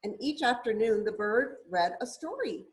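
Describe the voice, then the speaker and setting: A woman reading a children's story aloud expressively, with deliberate, drawn-out emphasis for young listeners. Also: fairly high pitch, animated. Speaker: storybook reader.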